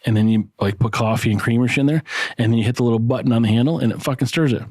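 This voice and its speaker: A man's voice: medium pitch, racing, warm tone, husky, no echo. A man co-hosting a podcast in crude, casual banter, blunt and teasing, with heavy swearing. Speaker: podcast co-host.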